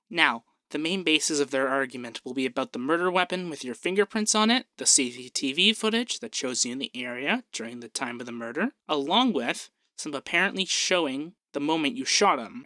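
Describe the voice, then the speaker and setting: Man playing a bright-voiced peppy young femboy barrister. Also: a little high, dry recording.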